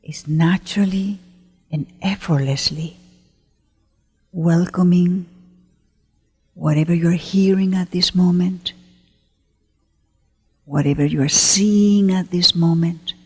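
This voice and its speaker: A woman leading a guided meditation as a teacher, gentle and unhurried, pausing often between short phrases in a breathy voice. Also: lowish voice, steady tone. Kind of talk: guided meditation, spoken slowly to listeners. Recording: long hall-like reverb.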